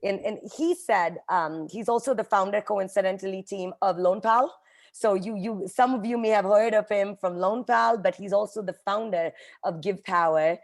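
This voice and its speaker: A woman's voice: medium pitch, normal speed, creaky. A woman whose native language is Hindi, giving an earnest, emphatic motivational talk to a team on a call as a guest speaker. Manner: earnest, emphatic, addresses listeners directly as a team. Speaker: guest speaker, company vice president. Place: online group call.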